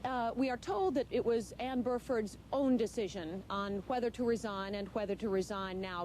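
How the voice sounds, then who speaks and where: A woman's voice: on the high side, a little mellow. A woman reporting live as a television news correspondent, over a slightly noisy feed.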